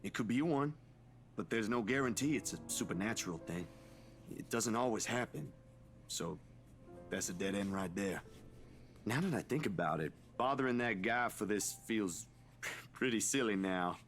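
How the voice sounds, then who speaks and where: A man's voice: on the low side, expressive, normal speed, pauses often, fairly bright tone, faint music behind. A man playing a casual, skeptical young man in a visual novel, colloquial and easily exasperated. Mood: casual, reflective.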